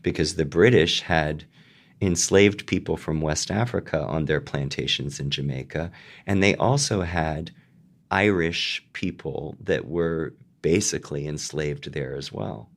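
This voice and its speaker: A man speaking as an interviewed dialect coach, explaining in a thoughtful, measured way. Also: a bass voice, gravelly.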